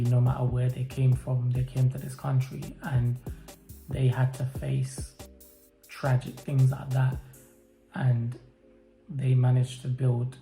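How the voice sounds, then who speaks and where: Man from the UK, interviewee; flat, measured, hesitant delivery in a roomy space. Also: medium pitch, vocal fry. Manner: hesitant, thoughtful, many pauses and fillers. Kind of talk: reflective personal interview answers. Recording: roomy echo, faint music behind.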